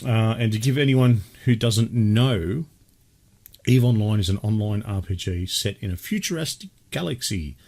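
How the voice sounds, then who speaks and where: An Australian man hosting a gaming podcast in a laid-back chat with his brother, still a little croaky from a cold. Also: on the low side, lively intonation, moderate pace, a little mellow. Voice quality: husky. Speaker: podcast host. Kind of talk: casual chat.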